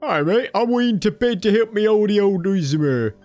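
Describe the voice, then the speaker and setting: A man's voice: high voice, expressive, brisk, a little mellow, no echo, soft background music, voice pushed up. A man voicing a friendly undead skeleton laborer who talks in a folksy, slangy, rambling way.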